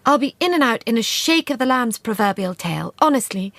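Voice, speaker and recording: A charming young woman spy, quick-witted and breezy, coaxing people with bright persuasive talk, as a woman reader puts her on. Her voice lifts a little above the narration. Dry and close to the mic. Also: a little high, lively intonation, dry recording, voice pushed up.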